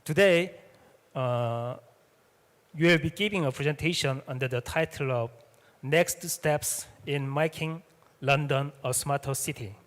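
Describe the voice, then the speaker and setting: A man's voice: fairly high pitch, unhurried. A man moderating a symposium session, speaking English as a with many hesitations and fillers. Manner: hesitant, frequent "uh" fillers and restarts. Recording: reverberant hall sound.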